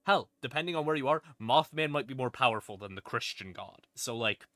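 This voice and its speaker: A man casually explaining game lore on a stream in an irreverent, sweary, rambling way, with dramatic pauses for emphasis. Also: mid-range voice, animated, pushed and bright, no echo, clean studio sound. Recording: music playing in the background. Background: creepy ambient music.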